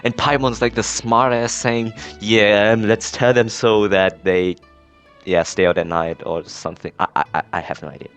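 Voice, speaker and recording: A young man giving casual, sarcastic game commentary, halting and repeating his words. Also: on the low side, steady tone, fairly bright tone, smooth. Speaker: gamer recording a playthrough. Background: faint game music.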